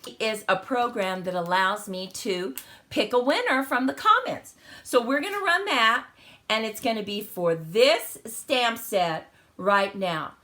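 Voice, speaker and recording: A woman's voice: on the high side, expressive, measured pace, pushed and bright. A woman hosting a live card-making stream, chatty and welcoming, her voice a little crackly after the flu. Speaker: host of a card-making channel. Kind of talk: live stream chat and crafting demo.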